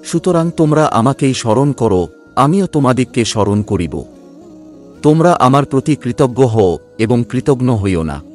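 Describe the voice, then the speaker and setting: A man narrating a scripture translation in a formal, solemn scripted reading with measured phrasing. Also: medium pitch, sing-song, soft background music.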